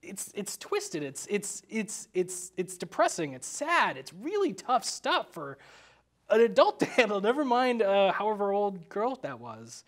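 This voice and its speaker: A man's voice: high-pitched, wildly swooping pitch, a little slow. A man chatting casually about film as a guest, restarting his thoughts and acting out imagined quotes as he rambles. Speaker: podcast guest.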